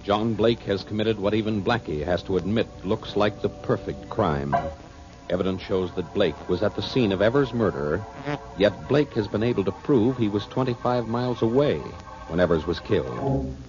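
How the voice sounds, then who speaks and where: A man's voice: on the low side, expressive. A man announcing and narrating in formal 1940s radio style. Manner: measured, formal. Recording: dull old radio broadcast with music.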